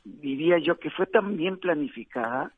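A man's voice: on the high side, moderate pace, breathy edge. Mexican man on a tinny phone line. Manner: relaxed, chatty, frequent fillers, laughs easily. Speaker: film director. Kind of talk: radio interview.

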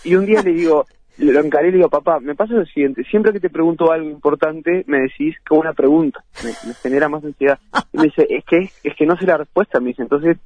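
A man's voice: fairly high pitch, fast, a little mellow, no echo. A man from Uruguay with a Uruguayan Spanish accent, a musician and producer talking casually as a radio guest. He laughs easily and restarts his phrases often. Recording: tinny phone line.

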